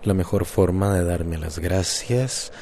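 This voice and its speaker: An actor with a Costa Rican accent playing a smooth man who coaxes a woman along in a relaxed way in a radio drama. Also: deep voice, slow, a little hiss or hum, exaggerated voice. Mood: coaxing. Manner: coaxing, relaxed.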